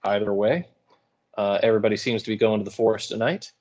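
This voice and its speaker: Guy teacher with a lowish voice, casual lecture commentary, wry little asides. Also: fairly even intonation, fast talker, darkish tone, lo-fi sound. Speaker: high school English teacher. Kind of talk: informal video lecture commentary.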